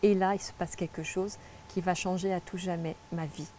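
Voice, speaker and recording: A middle-aged woman from French Guiana recounts her path in a slow, reflective interview manner, often restarting her sentences. Her voice sits low and sounds soft and mellow. A faint hiss of noise runs under the recording. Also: fairly even intonation. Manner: earnest, reflective, many restarts and fillers. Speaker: interview guest, spiritual guide. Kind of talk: personal testimony in conversation.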